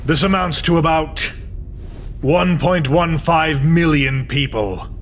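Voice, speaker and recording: A man's voice: on the high side, takes it slowly, a little hiss or hum. A man playing a vengeful, coldly fanatical imprisoned scientist in a video game, speechifying in a grandiose, declamatory way. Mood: grandiose, commanding. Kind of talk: dramatic video game cutscene acting. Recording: dramatic music bed under voice. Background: loud music.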